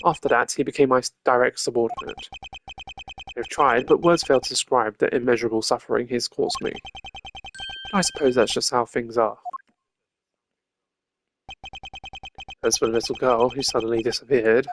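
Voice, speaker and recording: Stern, proud young male prosecutor for a game played by a man; formal, mid-pitched, brisk. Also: steady tone, natural voice. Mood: weary, reflective. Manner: formal, measured, stiff. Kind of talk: voiced reading of video game dialogue. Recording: game music behind the voice. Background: game music.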